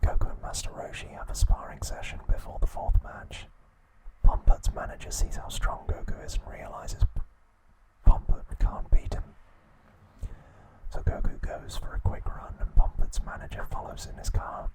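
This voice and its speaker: A man narrating in a soft, soothing whisper, reading scripted ASMR in a measured way as a channel host. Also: rough recording. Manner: measured, soothing bedtime delivery.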